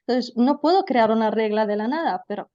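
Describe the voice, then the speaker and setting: A woman's voice: fairly high pitch, animated, brisk, dark tone, highs cut off. A woman giving an online lecture to trainee teachers, explaining patiently with frequent fillers. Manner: explanatory, addresses students as vosotros, frequent fillers.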